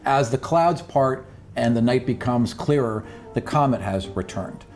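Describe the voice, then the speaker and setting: A man acts as game master of a tabletop roleplaying game. His voice sits at an average pitch as he narrates, with faint music behind him. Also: measured pace, darkish tone.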